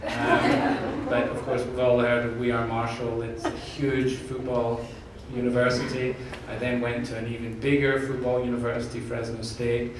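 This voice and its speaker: A man's voice: medium pitch, level tone, normal speed, few pauses. Scottish guy at a forum, earnest with jokes, sounds far off in a big echoey room, bit of noise. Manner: earnest, jokey, repeats words when restarting. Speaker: university president candidate. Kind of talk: answering questions at an open forum. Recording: echoey room, distant mic, background noise.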